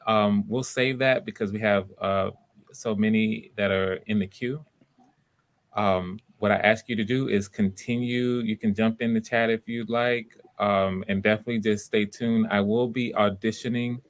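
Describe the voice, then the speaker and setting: Man, fairly low voice, audition host, casual livestream talk, encouraging. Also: steady tone, measured pace.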